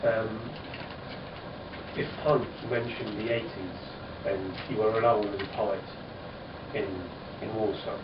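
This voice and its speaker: A man in the audience asking a question at a panel discussion, hesitant and pausing. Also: lowish voice, steady tone. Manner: slow, hesitant, with long pauses. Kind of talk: question from the floor. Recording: echoey room, far from mic, muffled, noisy.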